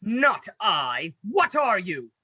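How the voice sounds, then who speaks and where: A woman playing a proud, indignant old senator and father in a Shakespeare radio play, imperious and deliberate. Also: mid-range voice, sing-song, bright, forceful tone, breathy edge, exaggerated voice. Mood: scornful, angry. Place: recorded at home over a video call.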